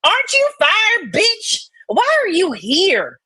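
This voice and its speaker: A woman bantering casually and reading online comments out loud in a blunt, sweary, folksy way. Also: extremely high pitch, wildly swooping pitch, slow pace, dry recording. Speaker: co-host reading comments aloud.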